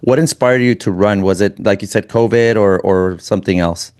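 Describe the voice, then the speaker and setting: Male podcast host, lowish voice, loose rambling delivery with restarts. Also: darkish tone. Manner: rambling, halting with many restarts. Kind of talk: casual interview chat.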